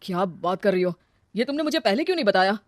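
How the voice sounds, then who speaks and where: A woman's voice: medium pitch, expressive, fast talker, heavy background noise, close to an everyday voice. A woman voicing a young male suitor and husband in a cartoon story, talking in an insistent manner. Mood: concerned, uneasy.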